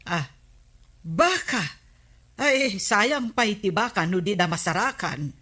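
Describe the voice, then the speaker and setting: An actress with an Ilocano accent playing an inquisitive, chatty village neighbour in a radio comedy drama. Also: female voice, mid-range voice, animated, slow pace, sharp tone, heavily acted. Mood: gossipy, curious.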